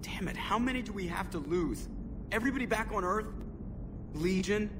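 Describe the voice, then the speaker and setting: Higher-voiced pilot, wry and jittery, off-mic with noise. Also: male voice, expressive, far from the mic. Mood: distressed. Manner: quick, wry, sarcastic. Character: wisecracking male ship pilot, anxious.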